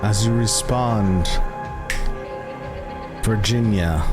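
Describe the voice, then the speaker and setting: A man running a horror tabletop game, narrating cinematically and deliberately as he addresses his players directly. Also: on the low side. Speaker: game master. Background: background music.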